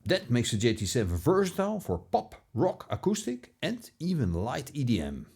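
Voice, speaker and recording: A man narrating a scripted product review as a video host, with a measured, polished presenter delivery. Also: mid-range voice, huge pitch swings, vocal fry, darkish tone, husky.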